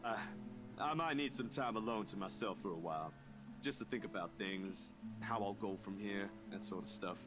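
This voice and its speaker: A man voicing a young male artist friend with a Southern country accent, reading game dialogue aloud in a relaxed, reassuring way over background music. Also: fairly low pitch, moderate pace, close to an everyday voice. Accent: American Southern.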